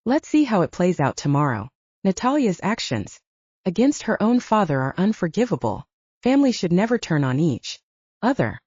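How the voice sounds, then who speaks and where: A woman's voice: on the low side, very animated, normal speed, a little mellow, husky, dry recording, lo-fi sound. A woman voicing a smug male werewolf alpha villain in an audiobook, taunting and goading. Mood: smug, threatening. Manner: sneering, goading.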